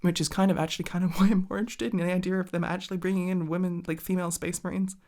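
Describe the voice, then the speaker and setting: A woman's voice: lowish voice, level tone, rapid-fire, a little mellow. A woman rambling casually in an ASMR chat, enthusiastic and sweary, with hesitant, meandering delivery. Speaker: hobby ASMR content creator.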